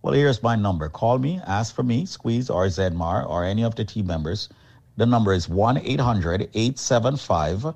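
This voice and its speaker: A man hosting a radio show and pitching a product, brisk, emphatic and repetitive. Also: a little mellow. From Jamaica. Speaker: radio host and company chairman.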